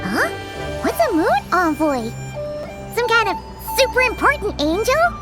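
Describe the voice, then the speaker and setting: Tiny game fairy girl, extremely high squeaky voice, swooping intonation, excitable, curious, music behind. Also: unhurried, full character voice. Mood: excited, curious. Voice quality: squeaky, childlike. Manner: excitable, refers to herself in third person. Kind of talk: video game voice acting.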